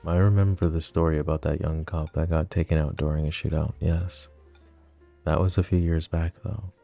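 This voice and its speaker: A man performs a flirty, possessive mafia boss for an ASMR roleplay. His voice is very deep and dark, with a heavy fry running through it. He speaks intimately and teasingly, as if to a lover across the table, with soft music faintly behind. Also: fairly even intonation, normal speed. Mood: serious, low. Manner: intimate, teasing, casual, addressing the listener directly.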